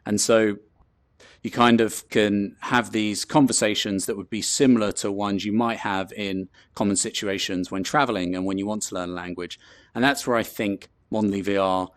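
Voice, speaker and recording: A man with a London accent talks through his points in a relaxed, rambling way, full of ums and restarts. His voice sits a little low. The sound is dry and close to the mic. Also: moderate pace, no room sound. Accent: London English. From England. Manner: loose and chatty, many ums and restarts. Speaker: host presenting a talk. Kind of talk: casual presentation with filler words.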